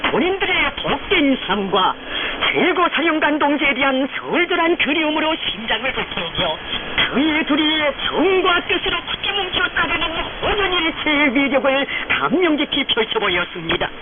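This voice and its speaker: A woman's voice: sits high, sing-song, moderate pace. A woman with a North Korean accent reads solemn state radio news in a declamatory, fervent, reverent manner. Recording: noisy shortwave radio, narrow phone-like band.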